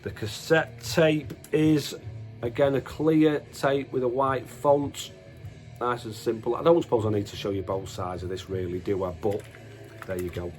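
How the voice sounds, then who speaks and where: A man's voice: medium pitch, steady tone, normal speed, darkish tone, faint music behind. A man giving casual, unscripted show-and-tell commentary as a host, in a hesitant, matter-of-fact way, often correcting himself. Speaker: host of a music collection video.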